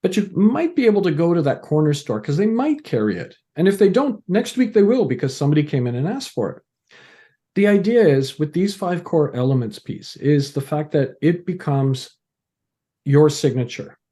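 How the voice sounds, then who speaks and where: A man's voice: fairly high pitch, big pitch swings, moderate pace, warm tone. A middle-aged man from Canada, a podcast guest talking casually, explaining ideas through stories and analogies with frequent restarts. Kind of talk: casual interview conversation.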